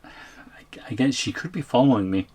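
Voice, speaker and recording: A man's voice: medium pitch, brisk, darkish tone, breathy edge, natural voice. A man playing a scared, weary captive on the run in an audio roleplay, hesitant and muttering. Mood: anxious. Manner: hesitant, muttering to himself, pleading.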